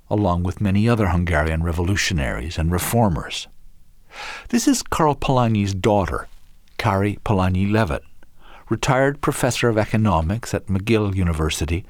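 A man reading scripted documentary narration in a measured storytelling delivery. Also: deep voice, no room sound, studio quality.

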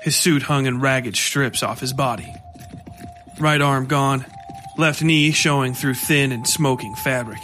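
A man's voice: medium pitch, fairly even intonation, a little slow, sharp tone, a little hiss or hum. A man reading scripted horror fiction as narrator, with music playing under his voice.

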